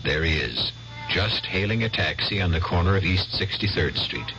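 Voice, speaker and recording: A man hosting a radio drama, narrating in a measured, theatrical way with pointed pauses. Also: deep voice, expressive, gravelly, noisy background. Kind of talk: scripted dramatic storytelling narration. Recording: dull old radio recording. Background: loud music.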